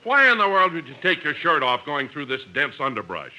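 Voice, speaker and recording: A man's voice: fairly high pitch, very animated, fairly quick, pushed and bright, exaggerated voice. An American man playing an explorer's earnest partner and interpreter in a 1950s radio comedy. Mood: urgent, concerned. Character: explorer's earnest partner translating for native porters. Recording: noisy 1950s radio broadcast recording.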